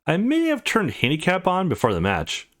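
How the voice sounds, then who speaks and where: Man reading as a cocky, animated young woman gamer. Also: high-pitched, normal speed, pitched above the performer's own voice, full character voice. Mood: sly. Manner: taunting, brash. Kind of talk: visual novel dialogue read aloud.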